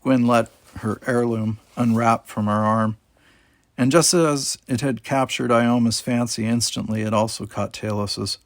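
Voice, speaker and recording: Gravelly man reading a story aloud. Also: medium pitch, steady tone, a little slow, dry recording. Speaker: audiobook reader. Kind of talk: scripted story narration.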